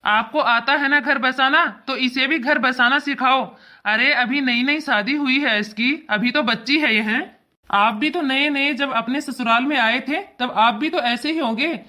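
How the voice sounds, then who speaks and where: A woman's voice: sits high, unhurried, sharp tone. A woman voicing an indignant, protective father who lectures emphatically in a cartoon family drama. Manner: emphatic, lecturing, repetitive scolding.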